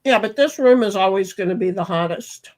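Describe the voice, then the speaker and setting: A woman's voice: fairly quick, darkish tone. A woman streaming a card game, chatting and rambling from a hot room at home. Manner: chatty, rambling, self-described high pitchy voice. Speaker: streamer playing online cards with friends. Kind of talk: casual chat while gaming.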